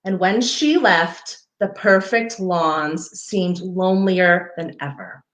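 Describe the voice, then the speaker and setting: A woman reads a picture book aloud for children in an echoey room. Her delivery is unhurried and very animated, swinging up and down in pitch as she speaks directly to her young listeners. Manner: expressive, pausing to point out pictures to young listeners. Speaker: parks foundation outreach coordinator hosting story time. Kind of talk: read-aloud children's story time. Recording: roomy, echoey livestream sound.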